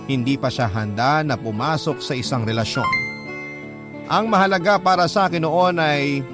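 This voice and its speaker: A lovestruck young man, voiced by an actor narrates in a slightly high voice with a Filipino accent. The delivery is sincere and reflective, radio-drama style, with music clearly playing behind. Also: natural voice. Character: patient, lovestruck young man telling his love story. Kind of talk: scripted first-person radio drama narration.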